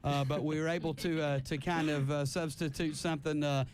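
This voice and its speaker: A man's voice: fairly high pitch, flat delivery, brisk, slight background noise. A man hosting a casual live radio interview, chatty and rambling, often repeating himself. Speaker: radio host interviewing a band. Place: radio studio.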